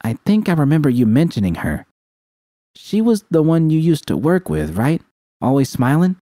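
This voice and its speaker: A man's voice: a little high, sing-song, moderate pace, dark tone, no echo, studio quality, voice pushed up. A man voicing a blunt, teasing, foul-mouthed tough woman in audiobook dialogue. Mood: curious, sardonic.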